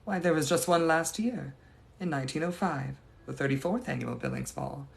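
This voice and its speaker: A man's voice: fairly high pitch, normal speed, vocal fry. A man voicing the ghost of a young lady from the 1880s, speaking in a formal, old-fashioned and gracious manner. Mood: wistful. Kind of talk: improvised live roleplay answering viewers.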